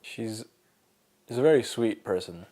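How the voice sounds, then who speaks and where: A man in his twenties from Colorado, talking thoughtfully in a casual interview, pausing with fillers as he finds his words. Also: fairly low pitch, animated, warm tone. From Colorado, USA. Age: 20s. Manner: thoughtful, hesitant, many fillers. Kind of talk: casual interview, personal story.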